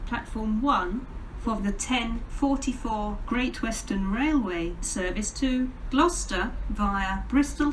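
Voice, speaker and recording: A woman with an English accent reading formal, measured automated railway announcements in pieced-together phrases over a station public address system. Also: a little high. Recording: distant station PA speakers, clear background noise.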